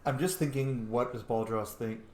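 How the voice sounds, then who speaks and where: A man's voice: mid-range voice, steady tone, normal speed, darkish tone. A man doing casual gameplay commentary as a streamer, chatty and playful, often correcting himself. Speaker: video game streamer. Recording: echoey room.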